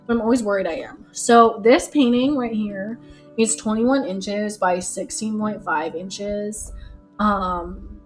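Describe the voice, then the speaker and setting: A woman's voice: mid-range voice, fairly even intonation, slow pace. A young woman streaming live while she paints, chatting casually with lots of fillers, her nose stuffed up. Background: soft lo-fi music.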